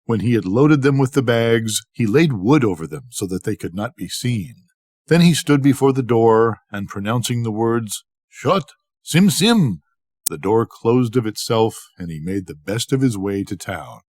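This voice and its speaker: An older man narrates a bedtime tale in measured, expressive storytelling. His pitch moves around a great deal, and the recording is close and dry. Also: no echo. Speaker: storybook reader.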